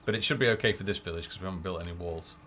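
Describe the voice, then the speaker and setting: A man's voice: sits low, racing, a bit bright, slight background noise. A man giving casual live commentary as a game developer playing, thinking aloud in half-muttered asides. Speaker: game developer streaming a play-through. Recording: game music behind the voice. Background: game music and unit voices.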